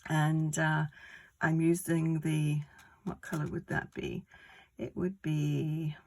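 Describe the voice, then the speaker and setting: A woman giving a casual card-making tutorial, croaky from a cold, talking through each step in a chatty, rambling way. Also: sits low, little pitch movement, slow. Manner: chatty, rambling step-by-step explanations with many fillers.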